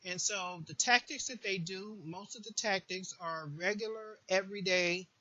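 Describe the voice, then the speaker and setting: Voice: mid-range voice, normal speed, sharp tone, lo-fi sound. A person giving an informal, earnest advice monologue, repeating phrases for emphasis, as a support group host would.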